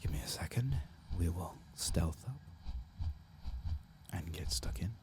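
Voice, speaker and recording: A man giving soft-spoken, often whispered ASMR commentary as he plays a video game, murmuring and musing aloud in an unhurried way. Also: low-pitched, lively intonation, background noise. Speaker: streamer playing a video game.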